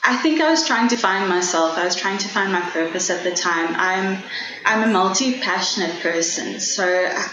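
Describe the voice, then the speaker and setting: A South African woman whose first language is Afrikaans, answering interview questions in an earnest, enthusiastic way and often restarting her sentences as she thinks aloud. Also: medium pitch. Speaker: guest, travel writer. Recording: echoey room with faint background noise.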